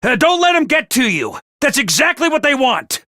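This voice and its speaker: A man voicing a peppy, bossy little mascot commanding officer in a video game, with a high cartoonish voice and theatrical, jaunty drill-sergeant delivery. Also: very animated, moderate pace, bright, forceful tone, dry recording, studio quality, heavily acted. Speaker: voice actor for a video game character. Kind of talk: animated video game voice acting.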